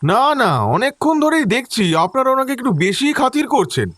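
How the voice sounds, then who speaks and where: A man's voice: extremely high pitch, sing-song, normal speed, fairly bright tone, no room sound, clean studio sound, exaggerated voice. A man playing a sceptical city office worker in an audio story, irritated, sneering and emphatic. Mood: scornful, irritated.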